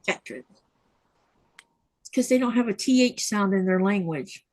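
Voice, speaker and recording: A woman's voice: medium pitch, expressive, normal speed, a little mellow, no room sound, rough recording. A middle-aged woman hosting a sewing livestream, chatting casually and telling rambling stories. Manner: chatty, rambling storyteller, reads viewer comments. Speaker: livestream host.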